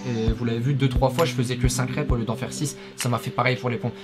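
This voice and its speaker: Energetic young male fitness host, rambling chat, guitar music underneath. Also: medium pitch, fast. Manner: energetic, rambling, many fillers. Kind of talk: casual commentary over workout footage. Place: small home studio with acoustic foam.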